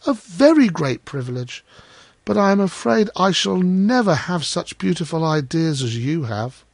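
A man's voice: a little high, very animated, normal speed, vocal fry, no room sound, voice pushed up, heavily acted. A man voicing a shy, timid, naive little man in a storybook, meek and eager to please. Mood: humble, admiring. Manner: meek, deferential. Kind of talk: storybook character voice.

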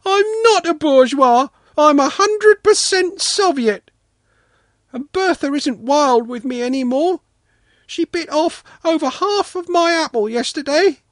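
Shrill, wailing small boy. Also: expressive, measured pace, fairly bright tone, no echo, studio quality, exaggerated voice. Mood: offended, wailing. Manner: indignant, wailing. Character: pop-eyed small boy, wailing and deeply offended. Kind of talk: storybook character reading.

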